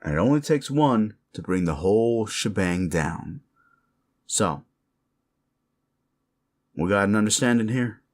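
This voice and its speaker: A man's voice: fairly low pitch, animated, a little slow, pauses often, darkish tone. A man voicing a sleazy, bullying werewolf boss in an audio roleplay, crude, condescending and threatening. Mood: menacing, contemptuous. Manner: menacing, crude, taunting, condescending. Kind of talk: roleplay audio drama monologue.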